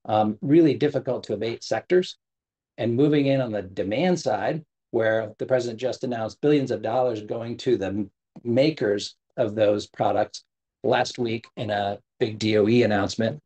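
A man's voice: on the low side, normal speed, dark tone, husky. A middle-aged man giving a prepared keynote over a video call, speaking earnestly with frequent fillers and self-corrections. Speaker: government sustainability official giving a keynote. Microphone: video call, webcam at desk.

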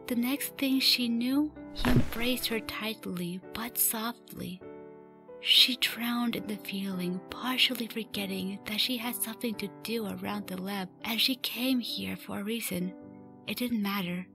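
A woman's voice: mid-range voice, unhurried, sharp tone, soft background music. A woman reading scripted story narration for a fan radio drama.

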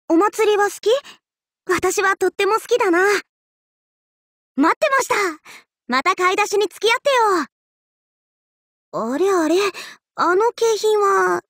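A woman voicing a cheerful, teasing young festival-loving swordswoman in an anime mobile game, with a bubbly, playful, drawn-out delivery. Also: very high voice, a bit bright, dry recording, studio quality, heavily acted.

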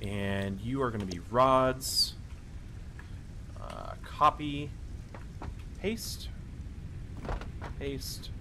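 A man casually narrating his own building game, talking to himself with wry asides and a slightly hoarse voice. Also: medium pitch, very slow, pauses often, background noise. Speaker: let's play host. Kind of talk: casual gameplay commentary. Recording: voice-over with loud game music behind.

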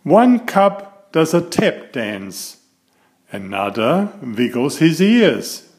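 A man reading a picture book aloud to young children in an expressive, deliberate storytelling manner. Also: a little high. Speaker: picture-book reader and author of children's stories.

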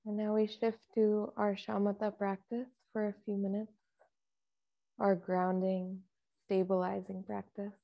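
A woman meditation teacher speaks very slowly and calmly, leaving long pauses between short instructions. Her delivery is even, with little movement in pitch and a noticeable creak in the voice. She guides softly and in a measured way. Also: highs cut off.

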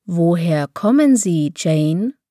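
A woman reading German lesson dialogues, taking every part herself with pauses between phrases. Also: fairly low pitch, animated, warm tone, dry recording, clean studio sound. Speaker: German reader for a language lesson. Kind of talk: slow, clearly spaced phrase reading.

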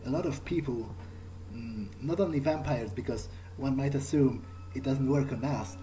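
A man thinking aloud in character as a vampire during a video game, in a halting, musing way. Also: mid-range voice, warm tone, low-quality recording. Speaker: gamer roleplaying in character. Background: video game ambience.

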